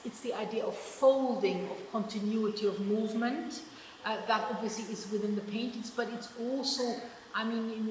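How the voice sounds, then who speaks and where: German-accented woman artist, halting and reflective, in a gallery with long reverb and faint noise. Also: mid-range voice. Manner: thoughtful, hesitant, many restarts. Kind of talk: public conversation about her artwork. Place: exhibition project space.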